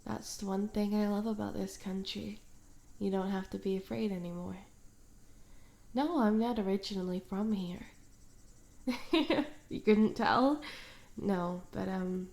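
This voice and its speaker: Slow-talking, smitten tomboy stalker played by a woman. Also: mid-range voice, creaky, a little mellow. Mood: chatty, candid. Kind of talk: unscripted ASMR roleplay, intimate one-sided conversation.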